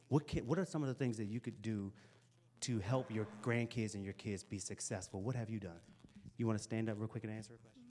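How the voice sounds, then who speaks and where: A man in his forties giving a casual, encouraging talk to young people in a school hall, speaking into a handheld microphone. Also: lowish voice. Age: 40s. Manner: lively, casual and encouraging, chatty asides. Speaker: motivational speaker. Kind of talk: live talk to a youth audience.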